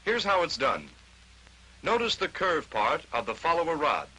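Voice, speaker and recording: A man's voice: on the high side, wildly swooping pitch, normal speed, bright, forceful tone, a little hiss or hum, poor audio. An American man narrating a 1940s instructional training film, explaining step by step in short declarative statements on a vintage film soundtrack.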